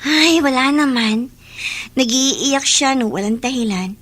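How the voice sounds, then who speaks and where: A woman's voice: sits high, measured pace, a bit bright. An actress in a Filipino radio drama playing an affectionate, repentant schoolgirl in an earnest, conversational way. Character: repentant schoolgirl younger sister, once snooty, now affectionate.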